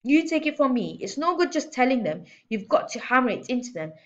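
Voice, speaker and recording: Storybook bully headmistress, acted by a woman reader: loud, scolding, sneering, domineering; slightly dull recording. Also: sits high, sing-song, brisk, pitched above the performer's own voice. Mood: smug, gloating. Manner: barking, scolding, sneering insults. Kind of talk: children's storybook read-aloud.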